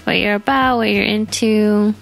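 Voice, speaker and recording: An American woman hosts a casual podcast in a mid-pitched voice with noticeable creak. Her delivery is informal and slangy, like easy conversation between peers. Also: fairly even intonation, moderate pace, faint music behind. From New York, United States. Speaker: podcast host.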